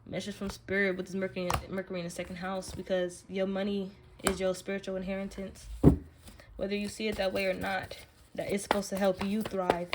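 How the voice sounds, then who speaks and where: A woman's voice: medium pitch, completely flat delivery, brisk, very noisy. A woman giving a casual spiritual card reading, speaking directly to the listener in an emphatic, slangy, profane way. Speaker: tarot card reader, channel host.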